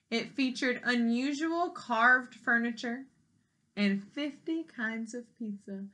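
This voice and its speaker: A woman reading a children's story aloud expressively, pausing in a teacherly way to ask young listeners simple questions. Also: fairly high pitch, sharp tone. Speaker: storybook read-aloud reader.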